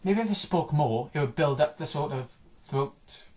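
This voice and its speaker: A man chatting casually about video games, rambling and offhand, his voice a little hoarse after a day of recording. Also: fairly high pitch, lively intonation, moderate pace, a little mellow. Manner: rambling, offhand, frequent restarts and small chuckles. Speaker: gaming vlogger.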